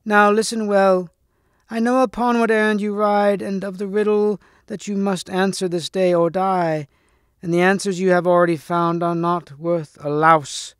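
A loathly old storybook hag, acted out by a man, speaks in a shrill, cracked voice pitched well up. She is imperious and eager to bargain, with archaic turns of phrase. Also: little pitch movement, moderate pace, clear, resonant tone, dry recording, clean studio sound, close to an everyday voice. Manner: archaic, commanding speech.

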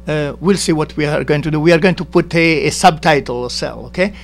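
A man in his sixties from Italy with an Italian accent, introducing songs in a TV studio and pausing to search for words. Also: fairly high pitch, creaky, a little hiss or hum. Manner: halting, repeats words when searching. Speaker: guest singer-guitarist. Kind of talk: singing ballads with guitar, casual introductions. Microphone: stand mic in front of him. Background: acoustic guitar.

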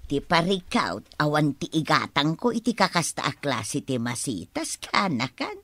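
A woman playing a scrounging, coaxing village auntie in an Ilocano radio comedy drama, full of exclamations and flattery. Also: deep voice, moderate pace, no room sound, heavily acted. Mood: wheedling, grateful.

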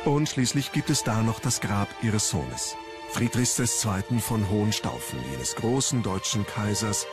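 A man's voice: fairly low pitch, animated, a little slow, over music. A man reading scripted documentary narration as the narrator of a history programme.

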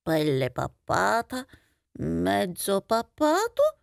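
A woman's voice: mid-range voice, huge pitch swings, a little slow, dry recording, studio quality. A woman voicing a trusting, puzzled male mouse in a fairy tale, with a questioning, exclamatory way of talking. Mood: puzzled, suspicious.

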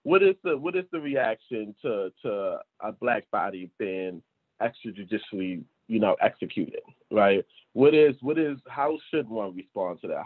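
A man in his thirties with a husky voice talks earnestly on an online panel. He often checks his points with a tag question and poses rhetorical questions. The sound is narrow and phone-like, from a video call. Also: on the low side. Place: small indoor room, at a desk. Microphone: video call computer microphone.

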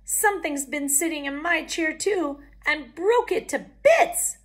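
A woman voicing a small excitable boy bear cub in a storybook reading, with a squeaky high voice. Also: unhurried. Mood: excited.

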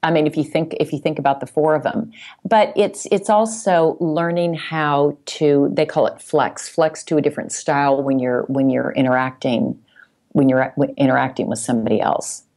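A woman's voice: low-pitched, steady tone, normal speed, a little mellow. A woman speaking as a podcast guest in casual conversation, explaining things reflectively step by step. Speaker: podcast guest, sales executive.

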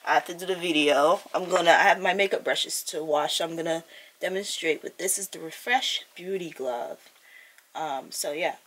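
A woman's voice: on the low side, moderate pace, a bit bright. A young woman in her twenties chatting casually to viewers in a vlog, laughing often, recorded on a camera mic at arm's length. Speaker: vlogger. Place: small bedroom and outdoors on city streets. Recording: camera audio with clear background noise.

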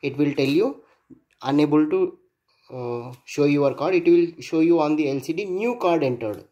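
A man narrating a hands-on electronics project demo at a workbench, thinking aloud in a halting way and spelling out digits and letters as he goes. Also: a little high, warm tone, lo-fi sound. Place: indoors at a workbench.

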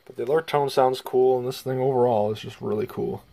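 Flat-voiced man with some vocal fry. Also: medium pitch, brisk. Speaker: hobbyist video presenter. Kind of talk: casual show-and-tell vlog. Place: small bedroom indoors. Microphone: handheld phone camera.

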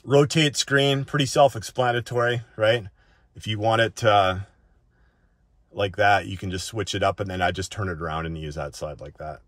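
A man's voice: lowish voice, normal speed, fairly bright tone, dry recording, clean studio sound. A man giving a casual, unscripted tech walk-through in an informal way, often checking in with the listener as he goes. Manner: informal, often ends points with a checking "right?". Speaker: tech reviewer presenting a settings walk-through.

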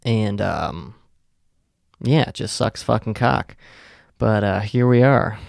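Young man, low voice, gravelly, tired, profane casual rambling. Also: lively intonation, slow pace, darkish tone, no echo, studio quality. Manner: profane, laid-back, trailing off with fillers. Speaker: podcast co-host.

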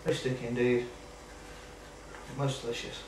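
A man's voice: medium pitch, level tone, moderate pace, very noisy. A man in his thirties hosting a casual home cooking show, chatting while he works in his kitchen. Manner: chatty, talks while working at the stove. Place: home kitchen at night, indoors. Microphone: camera mic from across the room. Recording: roomy, distant camera-mic sound.

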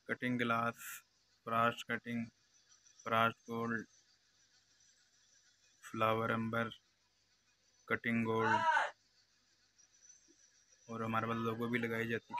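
Monotone Pakistani craftsman, bright forceful voice. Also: mid-range voice. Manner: repetitive, step-by-step narration. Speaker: glass engraver, channel host. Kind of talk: live craft demonstration commentary. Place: glass cutting workshop. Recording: noisy workshop background hum.

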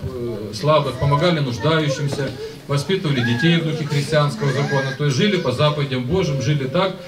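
Echoey middle-aged priest addressing a hall. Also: male voice, on the high side, a loud crowd. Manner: halting, pausing mid-phrase. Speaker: priest, church rector. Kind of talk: festive blessing speech to congregation. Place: church school hall, indoors. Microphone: handheld microphone, recorded from a distance. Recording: roomy echoing hall, distant, audience noise.

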